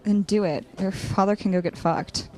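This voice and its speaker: A woman's voice: medium pitch, fairly even intonation, normal speed, a little mellow, close to an everyday voice, rough recording. A woman acting a blunt, wry and protective young friend in a naturalistic audio drama. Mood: firm, supportive.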